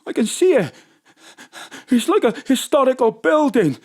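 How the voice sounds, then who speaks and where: A man's voice: very high-pitched, very animated, normal speed, darkish tone, exaggerated voice. An actor playing a dreamy, awestruck male drinking companion in a comic audio drama.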